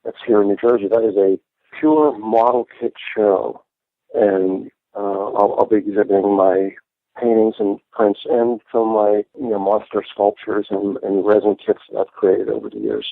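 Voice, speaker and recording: Phone-line American man, lowish voice. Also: fairly even intonation, normal speed, vocal fry, dry recording. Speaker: podcast guest, artist. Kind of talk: casual interview by remote call. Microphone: phone or internet call. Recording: thin phone-like call audio.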